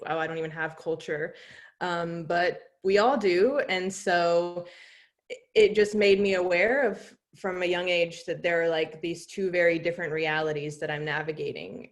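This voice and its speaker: A woman from the United States, an intercultural educator, answering interview questions thoughtfully with many pauses. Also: lowish voice. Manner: thoughtful, many "um"s, soft laughs.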